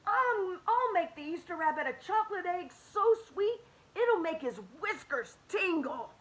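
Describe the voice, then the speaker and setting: A woman voicing an eager little boy rabbit in a storybook, speaking brightly and earnestly. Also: very high-pitched, expressive, measured pace, voice pushed up, heavily acted. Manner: bright, earnest and wide-eyed.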